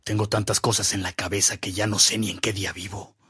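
An actor playing an imperious, threatening state governor who persecutes priests in a radio drama. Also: male voice, fairly low pitch, level tone, fast, pushed and bright, husky, dry recording, studio quality, heavily acted. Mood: threatening, curt.

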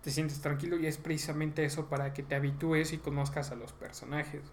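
Slangy young Mexican man, higher-pitched voice. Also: level tone, a little fast, slight background noise. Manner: slangy, frequently profane. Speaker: podcast host. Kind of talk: casual chat about video games.

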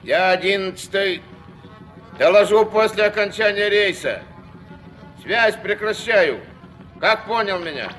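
An actor playing a gruff, steady old riverboat captain and grandfather with a curt, commanding way in a Soviet radio play. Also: high voice, measured pace, pauses often, music in the background, low-quality recording. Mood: formal radio calling.

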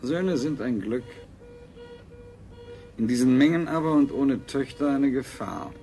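An actor playing a callous, domineering colony ruler in a radio play, formal, deliberate and dispassionate. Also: male voice, medium pitch, warm tone, lightly acted. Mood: calculating. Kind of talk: 1970s German radio play acting.

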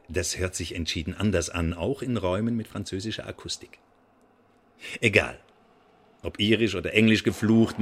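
Radio play: male actor as French secret policeman, low register, mock-polite, verbose, quietly menacing. Some ambient noise. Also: lightly acted. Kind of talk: German radio drama acting.

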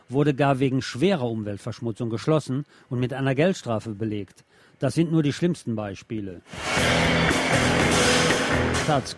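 A man's voice: medium pitch, warm tone, a little hiss or hum. A man presenting on the radio, reading press articles aloud.

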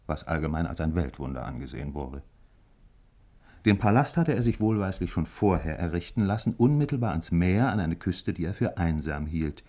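A man narrating a 1950s German radio drama as a measured, reflective storyteller with literary phrasing. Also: sits low, warm tone.

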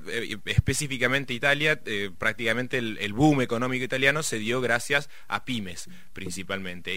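A man's voice: medium pitch, pushed and bright, no echo. A middle-aged man with a Rioplatense Argentine accent explaining economics in casual conversation, rambling with many fillers and restarts. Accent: Rioplatense Spanish.

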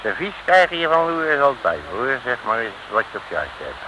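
An actor playing a cocky, wisecracking streetwise detective, in an old radio serial style. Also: male voice, medium pitch, big pitch swings, normal speed, close to an everyday voice. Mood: breezy, joking. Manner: breezy, slangy, wisecracking bravado. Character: cocky, streetwise male detective from Rotterdam, joking braggart. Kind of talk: 1950s-60s Dutch radio serial acting. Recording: noisy old radio recording.

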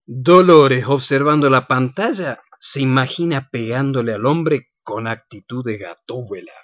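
A woman's voice: very deep voice, a little slow, no room sound, lo-fi sound. A woman narrating the action of a radio play from a script.

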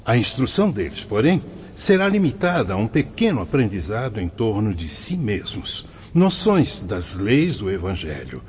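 A man's voice: lowish voice, big pitch swings, normal speed, darkish tone, dull audio, slight background noise. A man acting a wise, fatherly spirit mentor in a radio soap opera, speaking in a solemn, didactic, formal manner. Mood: solemn, instructive. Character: wise, fatherly male spirit mentor explaining afterlife rules.

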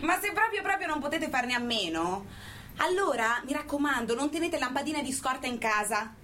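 A woman playing an ironic activist in a theatrical radio monologue, halting and mock-earnest, with ambient noise behind her. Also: high-pitched, animated, bright, forceful tone. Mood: mock alarm. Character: ironic arrested woman activist giving absurd mock warnings.

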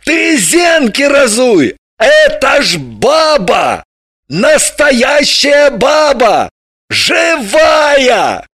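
A woman voicing a rowdy drunken male journalist who shouts and blusters. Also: sits high, very animated, drawn-out words, no room sound, clean studio sound, voice pushed up, exaggerated voice. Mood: shouting indignant.

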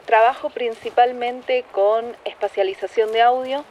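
A woman's voice: fairly high pitch, steady tone, a little slow, background noise. A woman with a Buenos Aires accent plainly introducing herself. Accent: Buenos Aires Spanish. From Argentina. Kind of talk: self-introduction.

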